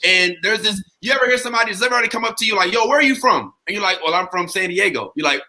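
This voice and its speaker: A man from St. Louis with an American accent hosts a casual talk show. His voice sits high and is very sharp and bright, and his phrasing is slangy and conversational. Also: expressive, racing. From St. Louis, Missouri, US. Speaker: podcast host. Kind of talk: casual chat.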